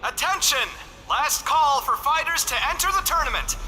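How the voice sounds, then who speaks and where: An actor playing an urgent tournament announcer making a public announcement in an anime game. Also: male voice, very high voice, expressive, normal speed, a loud crowd. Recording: long hall reverb, faint music behind.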